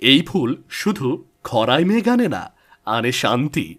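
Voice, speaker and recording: Animated male fairy-tale narrator. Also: mid-range voice, measured pace. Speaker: storyteller.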